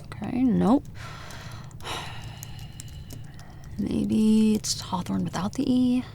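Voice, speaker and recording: A woman's voice: mid-range voice, drawn-out words, gravelly, warm tone, slight background noise, heavily acted. An actress playing a determined young woman investigating a mystery in an audio drama, narrating in the first person and muttering to herself. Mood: frustrated, searching. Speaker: lead actor and narrator.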